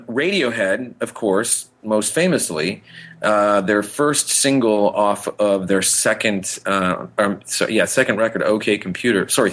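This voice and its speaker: A man's voice: on the low side, a bit bright. A man co-hosting a podcast in casual chat, rambling enthusiastically and often correcting himself mid-thought. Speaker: podcast co-host.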